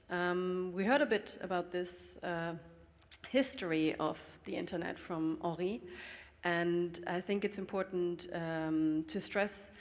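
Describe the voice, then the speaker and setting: Woman panelist, slightly low voice, steady, reverb of a big hall. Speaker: panelist, fund co-founder. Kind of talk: conference panel introduction. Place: large conference hall.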